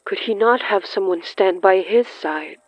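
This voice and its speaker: A narrator reading scripted horror fiction in a measured, deliberate way, breaking sentences into short, suspenseful phrases. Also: medium pitch, no echo, lo-fi sound.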